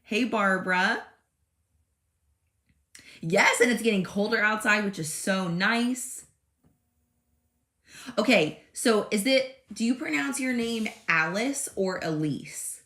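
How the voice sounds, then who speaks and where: A woman in her thirties hosting a casual craft livestream, chatty and friendly, with a slightly hoarse voice. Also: medium pitch, slow pace, long pauses, fairly bright tone, roomy sound. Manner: chatty and friendly, greets live commenters by name. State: slightly hoarse, recovering from illness. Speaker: craft channel host. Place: home craft room.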